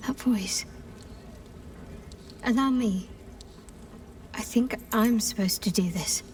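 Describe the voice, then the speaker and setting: A woman's voice: a little high, takes it slowly, a little mellow. A woman playing a guarded young cleric adventurer in a fantasy game, dry and determined. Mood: determined, tense. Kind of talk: video game voice acting. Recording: faint background noise.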